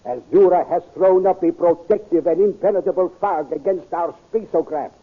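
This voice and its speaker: A man playing a calm space commander in an old radio drama, measured and authoritative. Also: high-pitched. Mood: commanding. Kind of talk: 1930s radio drama acting. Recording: tinny, narrow old radio recording.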